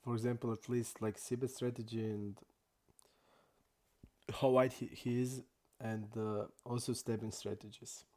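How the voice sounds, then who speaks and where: A young man from Croatia, not an English speaker, chatting casually and haltingly while streaming poker. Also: medium pitch, flat delivery, dark tone. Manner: halting non-native English, many restarts and fillers.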